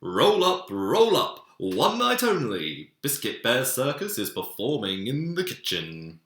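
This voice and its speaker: A man voicing a small excited biscuit bear who announces a circus like a showy ringmaster in a storybook. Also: slow pace, echoey room, full character voice. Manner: booming, theatrical barker delivery.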